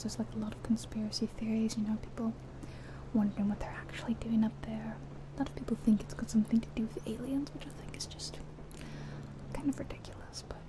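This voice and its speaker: A woman whispering softly and breathily in an ASMR roleplay as a friendly taxi driver, chatting gently and without hurry. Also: on the high side. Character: friendly small-town taxi driver giving a guided ride. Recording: steady car and rain noise. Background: car sounds and rain.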